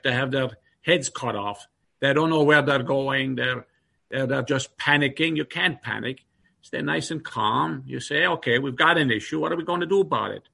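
A man with a New York accent carrying traces of his Irish upbringing, answering interview questions in a measured, steady way. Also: medium pitch, vocal fry. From Ireland. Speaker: guest executive.

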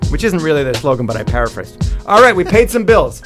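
A man's voice: on the high side, very animated, fast, music in the background. A man in his fifties hosting a radio show, bantering and reading ads with wry, joking asides. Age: 50s. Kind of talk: bantering radio talk and live ad reads.

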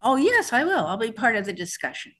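A woman's voice: on the high side, very animated, brisk, a bit bright. A middle-aged woman author raised in New York, answering interview questions in a conversational way with frequent restarts. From born in New Mexico, raised in New York.

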